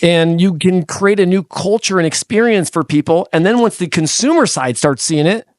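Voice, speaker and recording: A man hosting a podcast in a studio, talking in an energetic, rambling way with many restarts. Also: high voice, normal speed, no room sound. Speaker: podcast host. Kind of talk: casual chat. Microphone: studio microphone.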